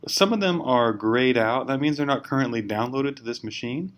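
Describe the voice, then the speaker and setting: A man giving an informal, step-by-step software tutorial in a hesitant, explanatory way. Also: mid-range voice, moderate pace. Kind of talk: informal screencast walkthrough. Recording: clear background noise, amateur screencast.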